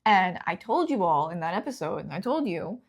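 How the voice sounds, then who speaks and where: A young woman hosting a casual news chat, chatty and lively, quick to laugh. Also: fairly low pitch. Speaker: host.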